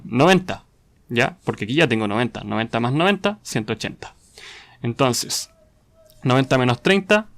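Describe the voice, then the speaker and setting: A man's voice: medium pitch, fairly bright tone. A man giving an informal step-by-step tutorial, often asking questions and answering them himself, with short check-in tags. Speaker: physics tutor presenting a lesson.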